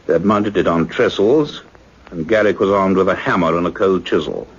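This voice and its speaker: An actor playing a grave, authoritative male scientist in a sci-fi radio play, with a husky voice. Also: low voice, lively intonation, moderate pace, full character voice. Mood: grave, measured. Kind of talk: radio play narration and acting. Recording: dull, band-limited radio play recording.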